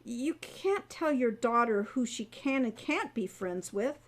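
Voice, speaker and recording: Woman playing a cheeky, high-voiced teenage girl adventurer. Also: lively intonation, unhurried. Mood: argumentative. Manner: casual, argumentative, needling.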